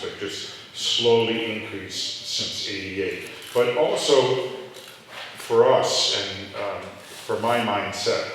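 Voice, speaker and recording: A middle-aged man, telling rambling stories with restarts during a live onstage interview. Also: fairly bright tone. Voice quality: deep voice. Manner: rambling storytelling with restarts and laughs. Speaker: restaurant owner. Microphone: handheld mic that cut out, picked up from a distance. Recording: echoey hall from far off, faint noise.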